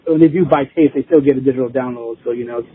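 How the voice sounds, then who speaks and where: A man chatting casually as an interview guest, informal and rambling, with a husky voice. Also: medium pitch, rapid-fire, dry recording. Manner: informal, rambling, chuckling easily. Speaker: interview guest, band musician. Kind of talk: casual chat. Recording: tinny phone-like line.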